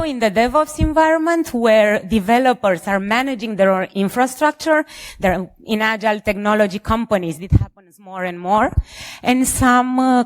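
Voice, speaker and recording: A woman with a Romanian accent giving an informal conference presentation as a security engineer, in a somewhat halting delivery. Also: mid-range voice. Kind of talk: conference presentation with light jokes.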